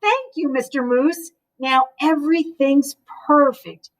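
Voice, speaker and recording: Woman as a gracious high-voiced lady moose. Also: slow, far from the mic. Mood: happy, welcoming. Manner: gentle, hospitable. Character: wistful, gracious female moose wife, storybook animal.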